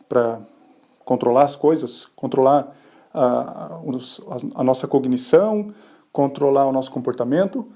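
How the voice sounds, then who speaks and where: A man's voice: mid-range voice, mellow. A man hosting a podcast, explaining the science of bilingualism in a casual, conversational way, often asking and answering his own questions. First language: Portuguese. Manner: tag questions and fillers.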